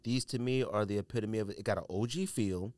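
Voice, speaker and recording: A man's voice: fairly low pitch, quick pace, a little mellow, no room sound. An American man hosting a solo podcast, giving opinionated commentary in slangy, colloquial speech and repeating phrases for emphasis. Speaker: podcast host.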